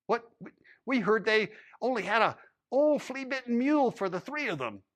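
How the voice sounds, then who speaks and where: A man's voice: very high voice, animated, moderate pace, voice pushed up. A man voicing a fussy, prying male town official who questions people indignantly.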